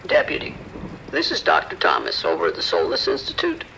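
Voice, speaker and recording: A man playing a polished research scientist in an audio drama, formal and precise, offering measured reassurance. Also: low voice, huge pitch swings, creaky, sharp tone, a little hiss or hum, full character voice, rough recording. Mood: formal, reassuring.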